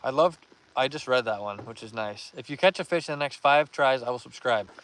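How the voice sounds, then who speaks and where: A young man hosting a live fishing stream outdoors, reading viewer comments aloud and giving upbeat shout-outs in a relaxed voice. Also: medium pitch, brisk, low-quality recording. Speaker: fishing livestream host.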